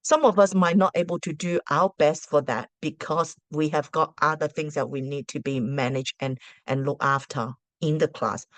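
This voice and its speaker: A teacher whose first language is Cantonese, giving parents casual advice in a chatty way, full of rhetorical questions and restarts. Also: female voice, low-pitched, steady tone, normal speed, few pauses, no room sound.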